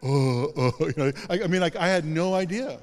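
A male pastor giving a sermon in a conversational, self-deprecating way with casual asides. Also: normal speed. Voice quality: deep voice. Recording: long hall reverb.

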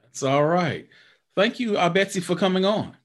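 A man's voice: sits high, animated, normal speed. A man hosting a podcast interview, talking loosely with lots of fillers and restarts, then rallying listeners in an upbeat sign-off. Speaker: podcast host. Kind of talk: casual interview chat and show outro.

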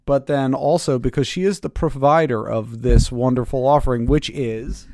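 A man hosting a casual podcast in a relaxed, jokey manner. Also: medium pitch, moderate pace, a little hiss or hum. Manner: easygoing, jokey. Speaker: podcast host.

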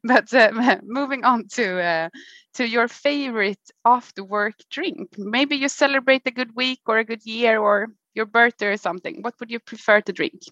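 A woman's voice: fairly high pitch, normal speed, fairly bright tone, no room sound. Woman host, chatty and upbeat, laughs a lot; very dry, dull lo-fi call sound. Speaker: podcast host. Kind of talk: casual interview.

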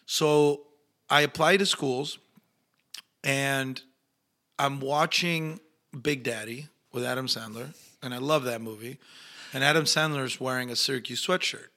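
A young man with an Argentine accent, a Spanish-speaking actor telling stories in a casual interview, animated and acting out the people he quotes. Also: mid-range voice, unhurried. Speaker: actor guest.

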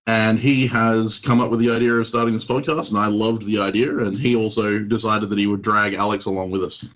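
A man's voice: lowish voice, brisk, rough recording. Easygoing male podcast co-host. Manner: relaxed, easygoing, with filler sounds. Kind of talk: casual podcast chat.

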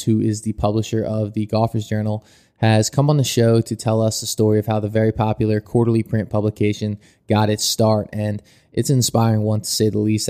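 A man's voice: fairly low pitch, warm tone. A man hosting a podcast, reading a scripted intro and asking interview questions in an upbeat, welcoming way. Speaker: podcast host.

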